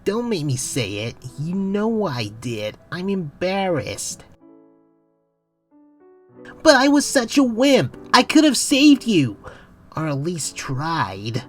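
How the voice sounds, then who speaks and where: A woman's voice: lowish voice, big pitch swings, slow pace, soft background music, exaggerated voice. A woman playing an anxious but earnest teenage boy space captain in a comic dub. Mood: ashamed, halting.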